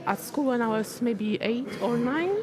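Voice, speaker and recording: A woman's voice: fairly high pitch, expressive, measured pace. A young woman from Poland with a Polish accent, talking as a pianist guest. She speaks in a composed, thoughtful way. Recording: crowd murmur behind.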